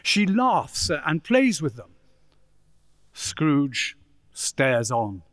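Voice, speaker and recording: A man narrating a radio play as dramatic, deliberate storytelling with suspenseful pauses. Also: on the high side, very animated, fairly bright tone, no room sound, studio quality, exaggerated voice. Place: live stage performance in a church.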